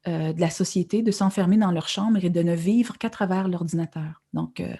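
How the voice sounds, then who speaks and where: A woman's voice: on the low side, normal speed, dark tone, husky. A woman novelist, speaking thoughtfully in an interview, often restarting her sentences. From Quebec. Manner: thoughtful, hesitant, many repeated starts. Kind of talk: conversational literary interview.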